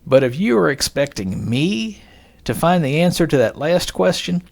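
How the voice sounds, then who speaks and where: A man's voice: fairly high pitch, big pitch swings, normal speed, creaky. A man hosting a podcast, reading scripted narration in a folksy, conversational way with joking asides and rhetorical questions. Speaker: podcast host.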